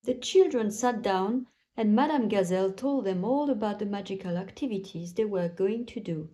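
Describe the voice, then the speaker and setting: A woman's voice: mid-range voice, moderate pace, dark tone. A woman narrating a children's bedtime story aloud, telling it expressively for young listeners.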